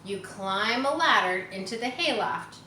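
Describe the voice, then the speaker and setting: A woman reading a children's story aloud, expressive, as if guiding young listeners through it. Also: medium pitch, unhurried. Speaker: storybook reader. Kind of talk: children's read-aloud narration. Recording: roomy echo, faint background noise.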